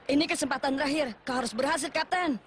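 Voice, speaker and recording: A woman's voice: high voice, quick pace, a little hiss or hum, close to an everyday voice. A woman voicing an earnest, resolute boy footballer in a dubbed sports anime, with dramatic delivery. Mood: urging. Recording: music behind the voice.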